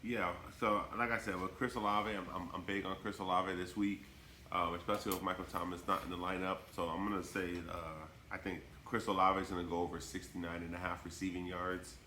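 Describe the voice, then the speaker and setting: A very deep-voiced man with a stuffy, congested voice talks football in a laid-back way. His voice is bright and forceful. It rings in an echoey room with a faint hum behind. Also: flat delivery, a little fast. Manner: laid-back, lots of "you know" and "um". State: congested from a cold. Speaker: podcast co-host. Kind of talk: casual sports podcast chat.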